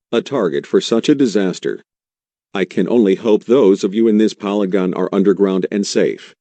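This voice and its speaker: A man's voice: lowish voice, warm tone, dry recording. A man playing a solemn, earnest TV meteorologist who implores viewers in an urgent live weather broadcast. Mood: shaken, heartbroken. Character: grave, pleading male TV meteorologist covering a tornado outbreak. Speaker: TV weather forecaster.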